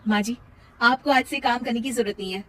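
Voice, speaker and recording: A woman's voice: fairly high pitch, fairly quick, a little hiss or hum, close to an everyday voice, rough recording. A woman voicing an overworked young working daughter-in-law in a family cartoon, in a dramatic, exasperated manner. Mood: gentle, sincere.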